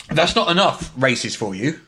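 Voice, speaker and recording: A man's voice: fairly high pitch, sing-song, unhurried, sharp tone. A man co-hosting a podcast, casually chatting through gaming news. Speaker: podcast co-host.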